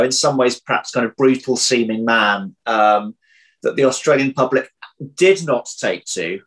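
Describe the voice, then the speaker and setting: A man's voice: mid-range voice, rough recording. Hesitant, rambling man in casual interview. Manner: hesitant, rambling, self-correcting, repeating words as he thinks. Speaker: interview guest, audio drama writer. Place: indoor room at home. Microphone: video call, computer mic.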